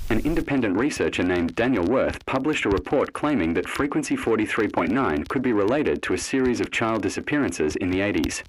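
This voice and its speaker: A man reading scripted horror mystery narration in a measured, ominous, dramatic way. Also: on the low side, a little hiss or hum, poor audio.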